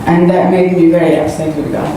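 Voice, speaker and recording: A woman's voice: on the low side, flat delivery, warm tone. Woman in an echoey church. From Saudi Arabia. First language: Arabic. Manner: earnest, halting, with fillers. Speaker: guest giving testimony. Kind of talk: personal testimony to a congregation. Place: church hall. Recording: echoey hall, distant, noisy.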